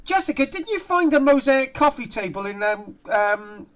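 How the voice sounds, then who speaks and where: Chatty, halting South Welsh male lecturer. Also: extremely high pitch, lively intonation. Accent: South Wales. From Barry, south Wales. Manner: chatty, jokey, digressive, halting. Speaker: archaeology lecturer. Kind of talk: informal online lecture, reading aloud and commenting. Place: indoor room, on a group video call.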